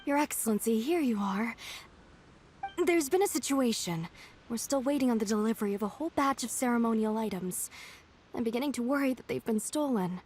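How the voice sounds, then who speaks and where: A woman's voice: a little high, animated, fairly quick, a little mellow, a little hiss or hum, lightly acted. A woman playing a dutiful young female shrine attendant who speaks in a polite, businesslike way. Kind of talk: video game voice acting.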